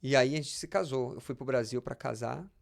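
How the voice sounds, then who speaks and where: A man's voice: mid-range voice, fairly quick, gravelly. A Brazilian man speaking as a pastor and interview guest, telling personal anecdotes conversationally and often repeating words as he explains.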